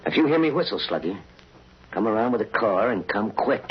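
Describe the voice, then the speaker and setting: An actor playing a tough, menacing gang boss in a 1940s radio drama, barking curt orders in street slang. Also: male voice, fairly low pitch, lively intonation, fairly quick, natural voice. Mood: bossy. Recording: muffled, hissy 1940s radio recording.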